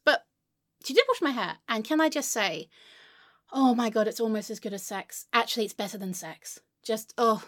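A woman's voice: fairly high pitch, moderate pace, a bit bright, lightly acted. A woman playing a melodramatic, irrational, foul-mouthed character in a comedic monologue, histrionic and swinging between sulky muttering and wailing. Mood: wounded, sulky. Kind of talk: comedic monologue to an unheard friend.

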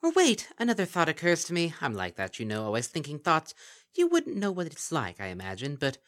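A man's voice: a little high, huge pitch swings, a little fast, a bit bright, pitched above the performer's own voice. A man voicing a sly, mocking female kelpie in a lilting sing-song manner for a story reading.

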